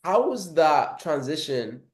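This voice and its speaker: A man's voice: a little high, very animated, takes it slowly. Guy interviewing someone, keeps firing off questions, sounds like an echoey room. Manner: eager, asks many questions in a row.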